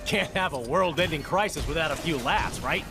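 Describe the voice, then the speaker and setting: Quippy, echoey superhero. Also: male voice, a little high, expressive, normal speed, creaky. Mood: brisk, wry. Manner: dry, quippy. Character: wisecracking cocky superhero leader. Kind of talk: video game cutscene acting. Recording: echoey, loud music behind.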